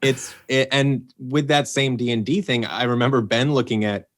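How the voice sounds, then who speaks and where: Man telling animated behind-the-scenes stories. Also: medium pitch, moderate pace. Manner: animated storyteller, acts out quotes. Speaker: voice actor and producer, guest. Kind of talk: casual podcast conversation.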